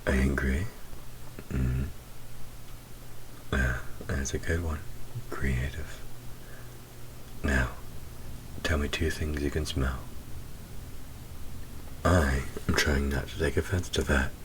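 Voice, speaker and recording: An extremely deep-voiced male demon, acted by a man speaks slowly and intimately, teasing and flirting in breathy murmurs. It plays as a roleplay audio drama, with faint noise behind the voice. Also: steady tone. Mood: teasing, tender. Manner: slow, intimate, breathy, drifting into whispers.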